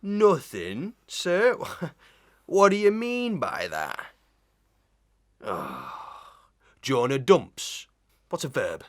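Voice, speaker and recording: A man's voice: medium pitch, huge pitch swings, full character voice. A man acting a pompous, cunning old schoolmaster in a Victorian melodrama, hemming, drawing out words and leaning on stock sayings. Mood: pompous quizzing. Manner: theatrical, hemming, drawn-out, full of stock sayings. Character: pompous, cunning old village schoolmaster, proverb-quoting and blustering.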